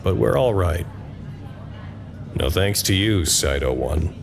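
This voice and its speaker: An actor playing a reflective, gentle facility worker, narrating an audio drama log in a measured, formal, introspective way. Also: male voice, sits low, animated, gravelly, a crowd in the background, noisy background, exaggerated voice. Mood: pensive.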